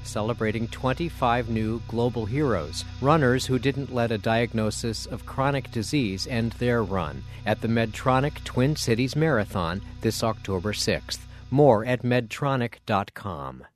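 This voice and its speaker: A man reading a sponsor message in a smooth, announcer-like way. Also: mid-range voice, lively intonation, a little slow, hardly any pauses, faint music behind.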